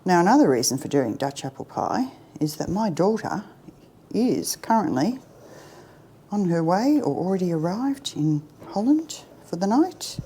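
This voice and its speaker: A middle-aged woman chatting her way through a baking tutorial in her home kitchen, self-deprecating and often dropping to a soft near-whisper. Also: fairly low pitch, very animated, a little slow, background noise. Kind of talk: casual cooking demonstration.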